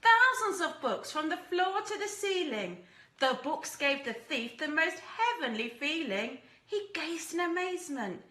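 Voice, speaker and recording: A woman reads a children's storybook with a high, very lively voice and a bright tone. She is deliberate and expressive, like a teacher with a young class, in a roomy, echoing space. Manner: animated, deliberate, acts out characters and asks listeners questions.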